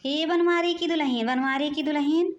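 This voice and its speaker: A woman's voice: high voice, normal speed, close to an everyday voice. A woman voicing an urgent, gossipy village aunt in a cartoon, insistent and repeating her words. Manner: insistent, repetitive. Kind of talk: Hindi animated comedy dialogue.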